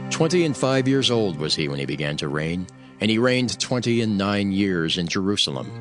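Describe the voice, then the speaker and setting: A man's voice: lowish voice, a bit bright. A man narrating scripture as a solemn dramatized story. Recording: music bed under the voice.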